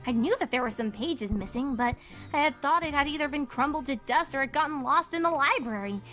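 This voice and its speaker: A woman acts out a stern grown-up cartoon pony mare. She is firm and reproachful, with a bright, forward voice over faint music in an audio drama. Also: high-pitched, lively intonation, fairly quick, rough recording. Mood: accusing. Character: stern, scolding young adult female cartoon pony. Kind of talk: fan fiction audio drama.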